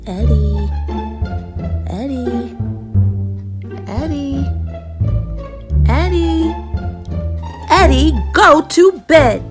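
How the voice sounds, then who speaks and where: A woman's voice: sits high, extremely slow, long pauses, over loud music. A woman voicing a frazzled, exasperated storybook mother calling out to her son.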